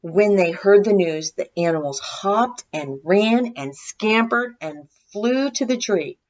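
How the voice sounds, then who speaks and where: A woman reading a children's story aloud in expressive scripted narration. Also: medium pitch, slow, lo-fi sound. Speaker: storybook reader.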